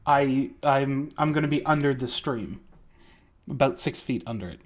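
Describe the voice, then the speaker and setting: A man's voice: medium pitch, moderate pace. A man co-hosting a drawing critique stream, chatting playfully and laughing often. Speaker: co-host of an art critique stream. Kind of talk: casual group chat.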